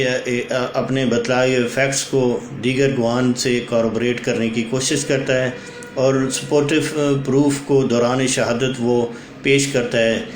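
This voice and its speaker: A man with a Pakistani accent giving a formal instructional legal explainer, mixing English legal terms into his speech. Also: mid-range voice. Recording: echoey room with faint background noise.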